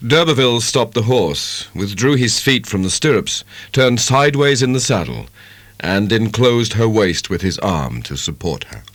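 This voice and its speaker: Male narrator, measured literary storytelling, very lively intonation, close dry sound. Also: fairly low pitch, no room sound. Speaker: audiobook narrator. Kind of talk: dramatic scripted narration of a classic novel.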